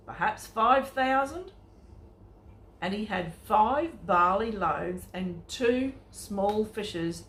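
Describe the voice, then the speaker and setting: A woman reading a children's Bible story aloud as a storyteller, with an expressive delivery aimed at young listeners. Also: medium pitch. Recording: echoey room with faint noise.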